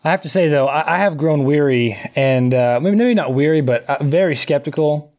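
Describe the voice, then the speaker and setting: A man's voice: a little high, brisk. Man moderating, slightly dull sound. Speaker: debate moderator. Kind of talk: casual podcast moderating.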